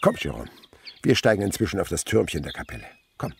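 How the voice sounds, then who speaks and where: Animated low-voiced older naturalist uncle. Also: male voice, fast talker, vocal fry, slight background noise, heavily acted. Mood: excited, fond. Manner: theatrical, fond, instructive questioning. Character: enthusiastic, teasing older naturalist uncle on an outing. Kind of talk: German radio play acting.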